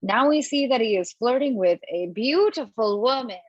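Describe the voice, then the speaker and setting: A woman's voice: fairly high pitch, moderate pace, husky, no echo. Woman reading stage directions on a call. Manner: lively, expressive reading with small slips. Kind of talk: table-read narration. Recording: dull lo-fi, dry.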